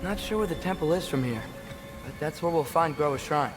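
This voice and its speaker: A man's voice: a little high, lively intonation, moderate pace, over music, natural voice. A young-sounding man playing a curious, earnest teenage boy adventurer in a video game, talking in a casual, informal way. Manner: casual, youthful, informal phrasing. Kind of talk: video game character acting.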